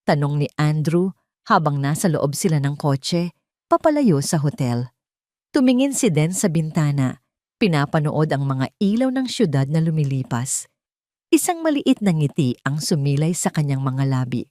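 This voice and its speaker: A woman's voice: low voice, big pitch swings, moderate pace, dark tone, dry recording, clean studio sound. A woman with a Filipino accent reading a dramatic scripted story as an audio drama narrator.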